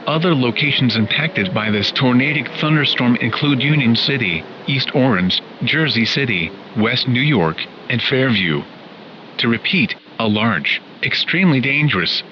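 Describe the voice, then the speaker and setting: A man's voice: mid-range voice, expressive, normal speed, lightly acted. A man's voice playing an impersonal automated weather alert announcer, formally reading a scripted emergency bulletin. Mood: grave, impersonal. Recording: muffled, very noisy weather radio broadcast.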